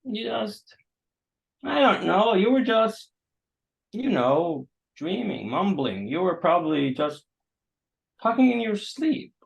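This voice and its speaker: A man acting a gentle, awkward, solicitous host in a staged play reading, hesitant and coaxing. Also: high-pitched, very animated, slow pace, creaky, long pauses, heavily acted. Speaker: actor. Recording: dull, muffled sound.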